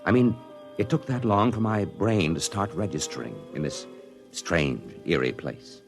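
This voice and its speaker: An actor playing a thoughtful male astronaut who narrates his moon landing in a 1950s radio drama, earnest and halting. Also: low-pitched, over music. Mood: wistful. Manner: earnest, reflective, halting with small stammers. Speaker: narrator and crewman.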